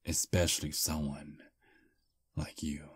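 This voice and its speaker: A man voicing a charming, polite male vampire on a first date, speaking softly and flatteringly in an ASMR roleplay. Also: rumbling low, slow pace, husky, no room sound, heavily acted. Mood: smooth flirting. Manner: soft, flattering, gentlemanly. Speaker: voice actor.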